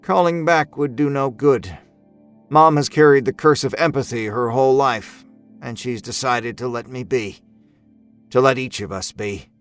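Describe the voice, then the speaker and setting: A man narrating a first-person horror story in a measured, brooding, expressive way. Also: mid-range voice, smooth. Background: music score.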